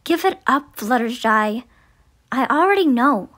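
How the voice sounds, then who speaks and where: A woman's voice: fairly high pitch, expressive, unhurried, a bit bright, pitched below the performer's own voice. A woman voicing a studious, earnest young pony princess in a cartoon dub, articulate, with music behind. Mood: stern. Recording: music under the voice.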